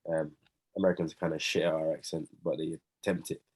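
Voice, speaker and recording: A man's voice: a bass voice, little pitch movement, fairly quick, darkish tone, slightly rough. A young man asking questions on a podcast in a hesitant, casual way with many fillers. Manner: hesitant, lots of ums and likes. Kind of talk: casual chat.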